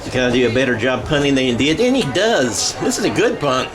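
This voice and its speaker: An American man giving live radio-style play-by-play of a football game, halting and correcting himself as he goes. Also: medium pitch, big pitch swings, over music. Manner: halting, self-correcting as he calls plays. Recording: clear background noise of a ballgame.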